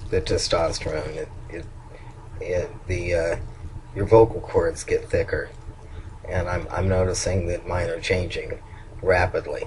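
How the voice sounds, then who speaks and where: An older man with a low voice that turns nasal at times and sounds hoarse, talking haltingly in a casual video update, with many ums and restarts. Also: little pitch movement, a little mellow, slight background noise, rough recording. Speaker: vlogger.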